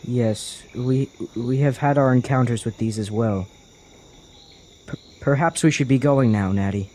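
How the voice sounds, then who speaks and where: A man's voice: mid-range voice, unhurried, mellow, a little hiss or hum, natural voice. A man playing a cautious, earnest young traveler in a fantasy audio drama, speaking formally as an anxious protector. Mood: wary.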